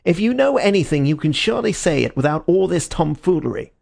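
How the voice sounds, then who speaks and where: A man reading an audiobook, voicing a hot-tempered male police inspector who blusters loudly and indignantly. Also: mid-range voice, fairly quick, vocal fry. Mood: angry. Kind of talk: audiobook character voice.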